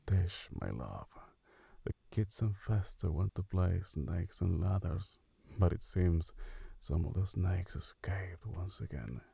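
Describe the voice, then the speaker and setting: A man playing a suave, devoted gothic husband and father in an ASMR roleplay, soft-spoken, hushed and husky. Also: low voice, lively intonation, takes it slowly, no echo, full character voice. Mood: affectionate, casual.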